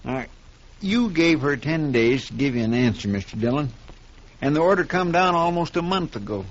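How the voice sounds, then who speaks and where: A man's voice: on the high side, animated, moderate pace. A man playing an earnest, loyal marshal's helper in a 1950s radio western, deferential, with folksy country speech. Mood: consoling. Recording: muffled old 1950s radio recording.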